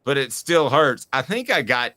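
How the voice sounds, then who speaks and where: A man's voice: medium pitch, sing-song, normal speed, pushed and bright, no echo. A man in his forties hosting a sports talk show, telling rambling, self-mocking stories in a casual way. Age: 40s. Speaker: sports radio host.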